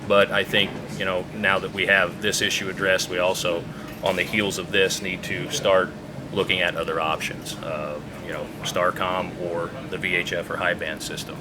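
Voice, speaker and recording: Male official, low register, hesitant and measured in interview, recorded with clear background noise. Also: flat delivery. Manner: hesitant, halting, measured explaining. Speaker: public safety radio official being interviewed. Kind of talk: unscripted interview answers.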